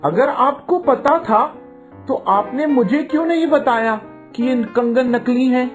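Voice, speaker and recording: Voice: sits high, unhurried, faint music behind. A performer voicing an earnest, naive young male student in a cartoon, speaking politely and pleadingly. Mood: alarmed, questioning. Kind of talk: Hindi cartoon voice acting.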